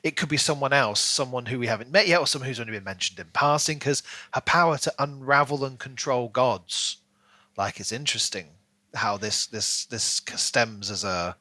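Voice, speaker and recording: Bright-voiced man in casual book talk. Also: medium pitch, normal speed, no echo. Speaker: podcast co-host.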